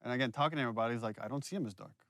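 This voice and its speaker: A man giving casual behind-the-scenes commentary as a game director, telling it like an animated storyteller. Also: medium pitch, racing, no echo.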